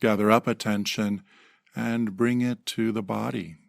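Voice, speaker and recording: A man leading a guided meditation in a gentle, unhurried manner with pauses, recorded close to the microphone. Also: fairly low pitch, steady tone, gravelly, no echo. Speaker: meditation teacher.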